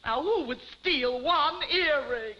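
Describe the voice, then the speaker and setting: A woman's voice: high voice, animated, takes it slowly. A woman playing a vain, wealthy society lady in a gushing, theatrical 1950s television comedy style. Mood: distraught. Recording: dull vintage 1950s TV soundtrack, faint noise.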